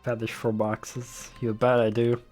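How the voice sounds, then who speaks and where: A young man with a faint Dutch accent rambles through a casual game stream, talking to his chat in a loose, easygoing way with the odd swear word. His voice sits at an ordinary middle pitch, recorded close on a desktop mic with soft music behind him. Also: monotone, measured pace. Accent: light Dutch. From Netherlands. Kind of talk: casual gameplay commentary, chatting with viewers. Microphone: desktop USB microphone.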